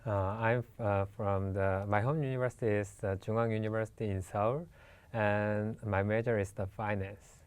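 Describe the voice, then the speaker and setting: A young man from South Korea, speaking halting English with a Korean accent and many fillers as a student guest in a casual interview. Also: fairly low pitch, fairly even intonation, clean tone, dry recording. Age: young adult.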